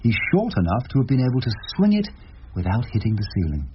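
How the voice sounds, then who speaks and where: An actor playing a shrewd, wry older English barrister in a radio drama, speaking deliberately and rhetorically. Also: male voice, medium pitch, wildly swooping pitch. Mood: accusing, stern. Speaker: lead character and narrator. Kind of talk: BBC radio drama acting. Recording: dull radio recording with hiss.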